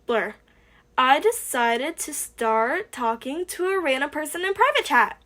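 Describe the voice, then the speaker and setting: A woman's voice: sits high, big pitch swings, unhurried, fairly bright tone, exaggerated voice. A woman voicing a cheeky young male hacker with a bouncy, teasing delivery.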